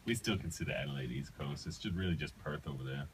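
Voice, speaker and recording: Male radio co-host, Australian, deep voice. Casual joker style, clearly noisy background. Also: lively intonation, quick pace. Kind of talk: casual joking banter. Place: radio studio.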